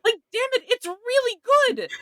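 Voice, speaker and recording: A woman's voice: extremely high pitch, animated, moderate pace, dry recording. A woman co-hosting a podcast in casual panel chat, with a wry, emphatic way of talking. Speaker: podcast co-host.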